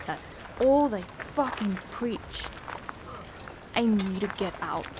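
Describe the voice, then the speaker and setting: A woman's voice: mid-range voice, animated, takes it slowly. A woman playing a restless, rebellious young woman who talks to herself in a dystopian audio drama. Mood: weary, frustrated. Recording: loud ambient noise behind voice.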